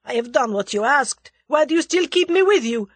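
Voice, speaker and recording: Woman as a desperate, snarling Russian man, husky-voiced. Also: mid-range voice, expressive, normal speed, fairly bright tone, no echo, pitched above the performer's own voice. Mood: bitter, desperate. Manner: vehement, snarling, urgent. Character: frightened, bitter Russian man, desperate and vehement.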